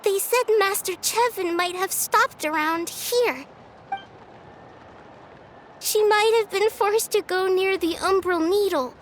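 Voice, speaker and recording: A woman voicing a tiny, childlike, excitable and caring companion with a squeaky voice in a video game. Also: slow pace, pauses often, a little hiss or hum. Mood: worried, pleading.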